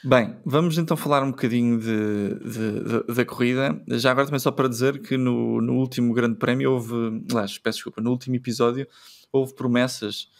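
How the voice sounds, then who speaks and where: A man's voice: mid-range voice, fairly even intonation, brisk, vocal fry. A man with a European Portuguese accent hosting a casual podcast chat, steering the talk and laughing often. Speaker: podcast host.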